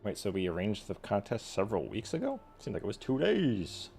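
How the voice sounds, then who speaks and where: Low-pitched, creaky sarcastic male game streamer. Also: huge pitch swings, brisk, a little mellow. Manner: sarcastic, wry. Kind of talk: casual joking playthrough commentary.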